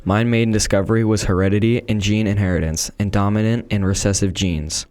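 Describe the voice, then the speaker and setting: A man's voice: on the low side, steady tone, a little fast, a little mellow, natural voice. A man playing a devout male scientist of the 1800s, reading a prepared script aloud in a school podcast role-play. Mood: earnest.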